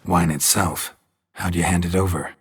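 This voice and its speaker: An actor playing a blunt, unruffled monster hunter in a video game, terse and deadpan. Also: male voice, low-pitched, normal speed, husky. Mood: flat, probing. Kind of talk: dramatic video game acting.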